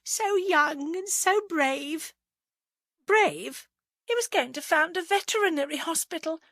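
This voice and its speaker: A woman's voice: high voice, sing-song, normal speed, fairly bright tone, dry recording, clean studio sound, pitched above the performer's own voice, exaggerated voice. A woman voicing a fragile, nervous, wistful village wife in an audiobook, in an emotional, fluttery manner. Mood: tearful, wistful. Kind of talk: audiobook character acting.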